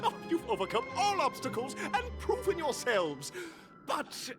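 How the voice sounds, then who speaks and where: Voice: high-pitched, huge pitch swings, unhurried, full character voice. An actor playing an exuberant, grandiose festival announcer in a video game, hyping a contest theatrically. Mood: grand excitement. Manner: grandiose, showy. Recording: loud music underneath. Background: game music.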